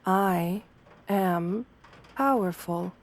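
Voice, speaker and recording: A woman plays a kind wolf-girl therapist in an audio roleplay. Her voice is soft and mid-pitched, with a measured, soothing delivery. She sounds professional and reassuring, guiding a patient gently. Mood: calm, guiding. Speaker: therapist character speaking to a patient. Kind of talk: ASMR roleplay, guided affirmations.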